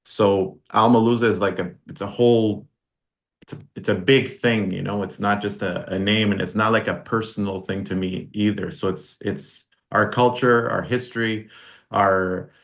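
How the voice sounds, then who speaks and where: Relaxed man in his forties, fillers. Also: fairly low pitch, moderate pace. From Canada. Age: 40s. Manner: relaxed, rambling with many fillers and restarts. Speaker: podcast guest, brand founder. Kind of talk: casual interview chat.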